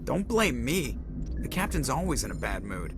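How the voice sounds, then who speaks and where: A man playing a cocky, wisecracking starship pilot who talks briskly and sarcastically. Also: mid-range voice, sing-song, music in the background, close to an everyday voice. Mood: wry. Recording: clear ship engine noise.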